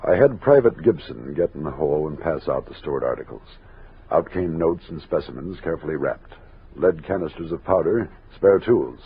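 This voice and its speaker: An actor playing a steady frontier army captain who leads an expedition and narrates it in measured, commanding old-time radio style. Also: male voice, extremely low pitch. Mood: reflective narration. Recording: tinny noisy old radio recording.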